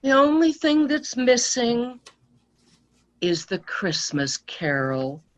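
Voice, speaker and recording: A woman acting a wistful, sentimental older woman in a radio play, telling things tenderly and haltingly. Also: a little high, sing-song, dull audio.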